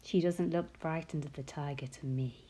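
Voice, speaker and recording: A woman reading a picture book aloud to young children, expressive and often putting questions straight to her listeners. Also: low-pitched, normal speed, slightly rough.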